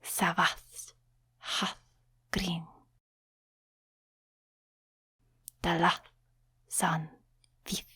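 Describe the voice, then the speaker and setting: Woman playing a slow, breathy whispering female demon. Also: lowish voice, little pitch movement, pushed and bright, no echo, clean studio sound, full character voice. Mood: hushed, instructing. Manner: slow, deliberate, teasing, condescending to mortals. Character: sly, seductive female demon tempting a mortal summoner. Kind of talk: ASMR roleplay, soft spoken and whispered.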